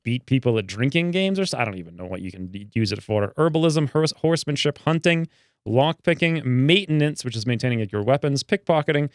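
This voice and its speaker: A young man casually commenting while he plays a game, excitable and rambling, with self-mocking asides, speaking close to a desk mic. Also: medium pitch, clean tone, no room sound. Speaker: gaming video host. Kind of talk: casual let's play commentary.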